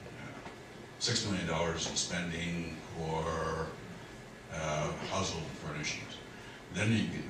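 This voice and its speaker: A man, a planning consultant, explaining figures at a public meeting in a loose, explanatory way with fillers. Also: a bass voice. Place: community hall. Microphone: distant room mic. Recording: echoey hall from far off, noisy.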